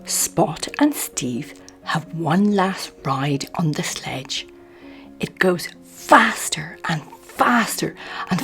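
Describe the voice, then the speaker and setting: A woman reading a children's picture book aloud in an expressive, measured bedtime storytelling manner. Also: mid-range voice.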